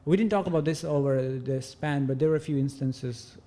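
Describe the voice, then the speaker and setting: A Nepali-accented man in his thirties, speaking English as a second language in a relaxed interview. His voice sits a bit high for a man yet sounds mellow and warm. He thinks aloud, hesitating and circling back as he speaks. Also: brisk. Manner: thoughtful, hesitant, many fillers like "uh" and "you know". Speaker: podcast guest. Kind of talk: casual interview conversation.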